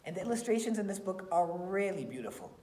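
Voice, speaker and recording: Lady doing a read aloud of a kids book, lowish voice, kinda echoey room. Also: sing-song, fast, mellow. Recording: roomy, echoey sound.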